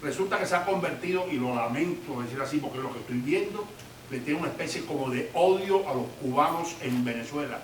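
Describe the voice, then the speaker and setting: A man asks a question from the audience in a slightly high voice, pausing as he gropes for words. He is heard from a distance in an echoey room with faint background noise. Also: lively intonation. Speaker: audience member asking a question. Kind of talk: asking a question in a public Q&A.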